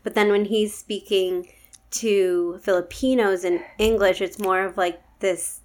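A woman's voice: medium pitch, steady tone, measured pace. A woman from New York State, a guest on a casual podcast, talking plainly in short replies. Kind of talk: casual chat.

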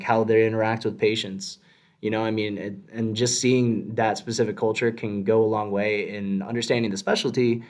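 A young man in his twenties talks in a somewhat low voice, casual and loose, circling around his points. He offers advice in a relaxed conversational way, the way a student talks to peers. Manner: rambling, lots of fillers and restarts. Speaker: medical student. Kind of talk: casual podcast discussion.

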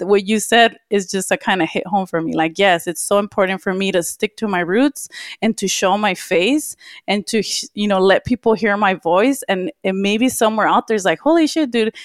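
A woman's voice: medium pitch, no echo, studio quality. A woman from Los Angeles, co-hosting a podcast and talking reflectively with lots of fillers and frequent laughs. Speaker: podcast co-host. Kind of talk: casual podcast conversation.

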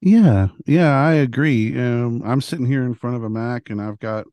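A man's voice: medium pitch, moderate pace, dark tone, no echo. A man hosting a casual interview from his computer desk, speaking hesitantly with many fillers. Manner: hesitant, filler-heavy questions. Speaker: podcast host.

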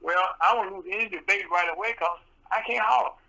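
A man hosting a radio talk show with a soft voice, rambling and full of fillers and restarts. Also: high-pitched, quick pace, gravelly, low-quality recording.

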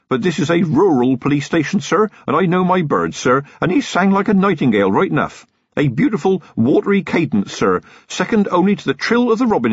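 A man reading an audiobook, voicing an eager young male rural constable who rambles excitedly. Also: fairly high pitch, breathy edge, dry recording, muffled recording, pitched above the performer's own voice.

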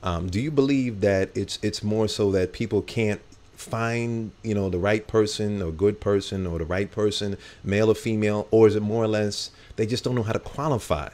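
A man's voice: lowish voice, moderate pace, a little mellow. A man hosting a casual talk show, rambling with many fillers as he asks questions. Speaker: host.

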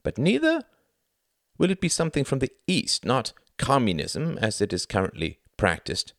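A man's voice: medium pitch, huge pitch swings, moderate pace, a bit bright, no room sound, studio quality, voice pushed up. A man voicing a proud, scornful young nationalist academic from Germany in an audiobook, with a declamatory, insistent delivery. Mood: airy, then hardened.

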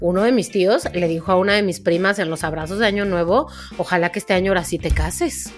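A Mexican woman co-hosting a podcast, telling casual comic anecdotes in an animated way and acting out bits of dialogue. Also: on the low side, fast talker, soft background music. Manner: animated, acts out imagined dialogue. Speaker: podcast co-host.

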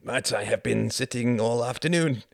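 A man's voice: mid-range voice, brisk, gravelly, fairly bright tone, dry recording, voice pushed up. A man voicing an indignant, pleading German count in an audiobook, with spluttering, stammering protests. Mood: indignant, incredulous. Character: indignant, pleading German count, cowed aristocrat and war veteran. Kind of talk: audiobook character acting.

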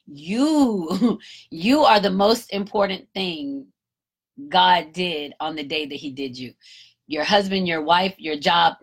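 Low-voiced woman in her fifties hosting a podcast. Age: 50s. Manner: candid, motivational, casual cursing. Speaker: podcast host. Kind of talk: reflective solo podcast talk.

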